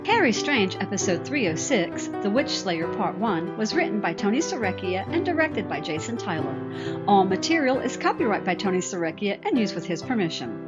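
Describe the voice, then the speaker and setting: A woman's voice: medium pitch, sing-song, moderate pace, muffled recording. A woman reading scripted programme credits as an announcer. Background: loud music.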